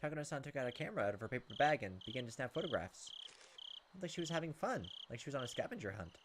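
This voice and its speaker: A man's voice: medium pitch, expressive, rapid-fire, noisy background. A man hosting a gaming video, reading visual novel text aloud dramatically with joking, exasperated asides. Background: game music and cricket sounds.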